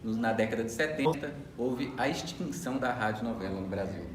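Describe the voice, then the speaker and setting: A man's voice: medium pitch, lively intonation, a little fast. Man, Brazilian Portuguese accent, student radio co-host, semi-scripted delivery, mid-range voice, echoey room sound. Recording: roomy echo, faint background noise.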